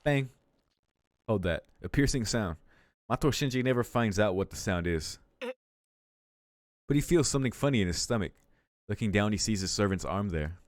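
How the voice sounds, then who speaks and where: A man's voice: lowish voice, expressive, moderate pace, long pauses, dry recording, clean studio sound. A man hosting a let's play, reading visual novel narration aloud and adding casual commentary. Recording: music playing behind the voice. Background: game music.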